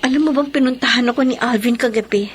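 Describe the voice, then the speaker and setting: A woman's voice: on the high side, steady tone, fast talker, a little hiss or hum, close to an everyday voice. A woman playing a frightened but resolute young witness in a radio drama. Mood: anxious.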